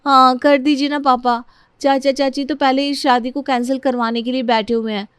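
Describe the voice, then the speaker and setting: A woman's voice: high voice, flat delivery, normal speed, smooth, no room sound, studio quality, pitched above the performer's own voice, natural voice. A woman voicing an earnest, pleading young bride-to-be who defends her fiance in a story. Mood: pleading, urgent.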